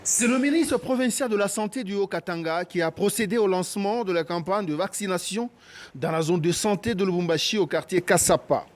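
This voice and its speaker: High-voiced reporter man. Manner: steady, factual. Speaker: news reporter. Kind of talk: scripted news report.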